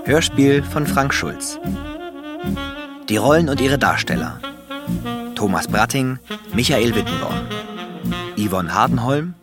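A man's voice: mid-range voice, lively intonation, a little slow, long pauses. A man reading scripted credits as a radio announcer. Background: saxophone music.

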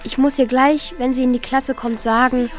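High-voiced secretive child played by a woman. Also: steady tone, normal speed, faint music behind, natural voice. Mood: evasive, uneasy. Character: Mika, secretive school-age child narrating the story. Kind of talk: children's radio play, first-person narration and dialogue.

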